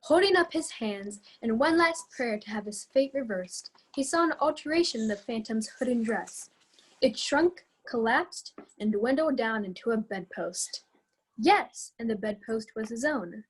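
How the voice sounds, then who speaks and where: A female narrator with a slightly husky voice reading a scripted dramatic story. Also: on the high side, lively intonation, a little slow, poor audio.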